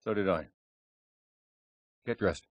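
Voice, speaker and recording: A man's voice: low-pitched, slow pace, no echo. A man playing a suave secret agent in a video game cutscene, with clipped, cool, understated delivery. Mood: brisk.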